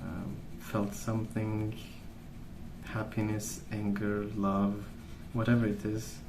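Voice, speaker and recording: A soft-spoken man rambling in an ASMR style, with a hesitant, meandering and unhurried way of recollecting. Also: fairly low pitch, completely flat delivery. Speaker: ASMR video host. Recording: roomy, distant mic, noisy background. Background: pencil colouring sounds, background noise.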